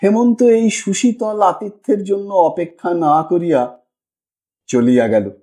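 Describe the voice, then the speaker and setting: A man's voice: sits high, unhurried, mellow, echoey room. A man narrating a literary story as an audiobook reader, in a dramatic style.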